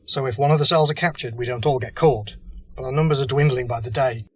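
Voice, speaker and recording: Audio drama resistance leader, played by a man: grave, principled, humane, medium-pitched, earnest delivery. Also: fast talker, lightly acted. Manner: earnest, serious.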